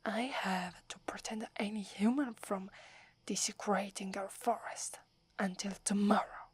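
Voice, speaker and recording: A woman's voice: medium pitch, breathy edge. A woman from Italy with an Italian accent, whispering as a proud, wary young female werewolf who is prickly and defensive. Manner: haughty, grudging, defensive. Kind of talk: ASMR roleplay.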